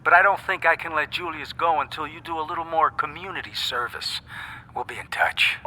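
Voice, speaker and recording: A man's voice: a little high, a little fast, natural voice. An actor playing a smug, corrupt male official in a video game, condescending and taunting, with noise behind him.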